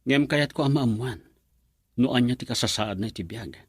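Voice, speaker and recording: An actor playing an earnest man who calmly and persuasively asks to talk with a young woman. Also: medium pitch, moderate pace, slightly rough, no echo, studio quality, natural voice. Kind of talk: radio drama acting.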